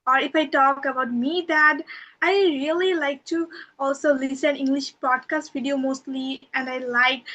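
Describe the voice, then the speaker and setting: A woman from Bangladesh, a podcast guest, giving earnest, long run-on answers with soft fillers. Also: high-pitched, steady tone, moderate pace, rough recording. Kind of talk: interview answers.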